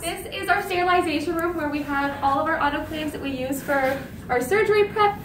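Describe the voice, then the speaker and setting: High-voiced young woman, distant and echoey. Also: a bit bright. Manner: informal, run-on explanations. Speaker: student tour guide. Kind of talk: guided facility tour. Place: veterinary teaching clinic rooms. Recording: distant mic in echoey room, noisy.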